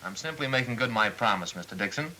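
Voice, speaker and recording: An actor playing a smooth, persistent car salesman with polite, persuasive, methodical patter in a mid-century training film. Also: on the low side, animated, fast, pushed and bright, poor audio. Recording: old film soundtrack with faint hiss.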